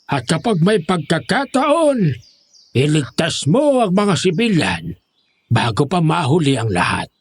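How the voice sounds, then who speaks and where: An actor playing the grave, commanding leader of a secret band of monster hunters in a horror audio drama, giving instructions. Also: male voice, a little high, sing-song, measured pace, husky, no echo, full character voice.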